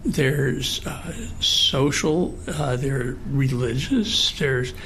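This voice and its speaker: An American man in his late sixties speaking in a halting, thoughtful, earnest way in a personal conversation. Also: mid-range voice, pushed and bright, a little hiss or hum, low-quality recording. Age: 60s. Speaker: participant in a recorded one-on-one conversation.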